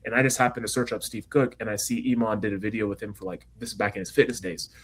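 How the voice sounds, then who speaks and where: A young man from Kenya who speaks with an American accent, his voice sitting low. He rushes through his story with quick, eager energy, loaded with "like" and "you know" as he chats on a podcast. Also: breathy edge. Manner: fast, energetic storytelling with frequent fillers. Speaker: guest entrepreneur. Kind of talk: casual interview chat.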